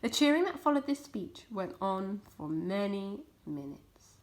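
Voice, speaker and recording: A woman's voice: mid-range voice, very animated, a little slow, slight background noise. A woman narrating a children's story as a storytime reader.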